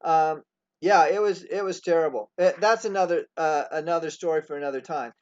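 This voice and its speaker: A man in his sixties hosts a relaxed interview, his speech halting and full of small restarts. His voice sits rather high for a man. The recording sounds dull and lo-fi. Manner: halting, many fillers and restarts. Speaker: host. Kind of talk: casual interview.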